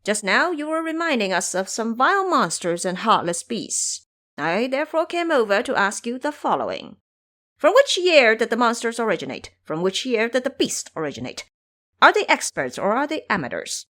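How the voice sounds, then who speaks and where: A woman's voice: fairly high pitch, sing-song, moderate pace, a bit bright. A woman voicing a bold, cocky male monkey disciple in an audio drama, boastful and fond of lecturing. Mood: brash, chatty. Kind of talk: audio drama character acting.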